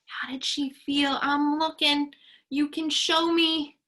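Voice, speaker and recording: A woman teaching young children in a read-aloud lesson, encouraging them with sing-song, drawn-out key words and simple instructions. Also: high voice, little pitch movement, fairly bright tone. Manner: encouraging, praising young learners.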